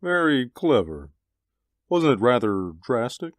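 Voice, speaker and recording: An actor playing a smug, successful middle-aged star actor in an old radio drama, with a polished, clipped manner that stammers under pressure. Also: male voice, medium pitch, big pitch swings, slow, dry recording. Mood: amused. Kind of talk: 1940s radio acting.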